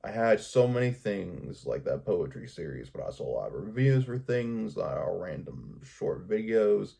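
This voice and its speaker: A man talking casually to his viewers in a personal vlog, hesitant and halting, often repeating his words. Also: lowish voice, big pitch swings, vocal fry, dark tone, husky. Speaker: video creator talking to viewers.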